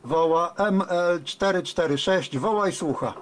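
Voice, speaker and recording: Higher-voiced man making radio calls. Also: measured pace. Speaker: amateur radio operator, host. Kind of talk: radio call-outs and casual commentary. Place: indoor room at a radio desk.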